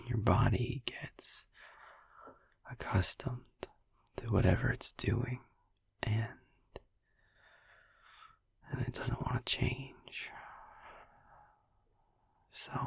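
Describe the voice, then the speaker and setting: A man playing a soothing vampire boyfriend in an ASMR sleep-aid roleplay, musing gently in a hushed, breathy whisper close to the microphone. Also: medium pitch, dry recording, exaggerated voice. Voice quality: breathy whisper with gravelly creak. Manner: slow, hushed, gently musing with long pauses. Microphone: close microphone, dry.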